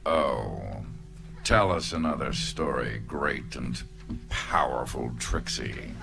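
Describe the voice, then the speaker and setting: A man's voice: rumbling low, slow, gravelly, pitched below the performer's own voice, full character voice. A man voicing a fawning, scheming young male pony sidekick in a live fan reading. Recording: show music playing underneath.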